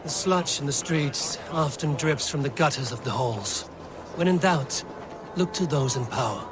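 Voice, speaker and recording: An actor playing a resolute, grim and forthright Viking warrior in a dramatic video game. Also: male voice, on the high side, lively intonation, measured pace, noisy background. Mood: earnest, assured.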